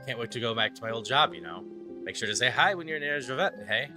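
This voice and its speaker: A man's voice: mid-range voice, lively intonation, quick pace, close to an everyday voice. A man voicing an exuberant, big-hearted adventuring friend in a video game. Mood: caring, teasing. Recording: game music under the voice.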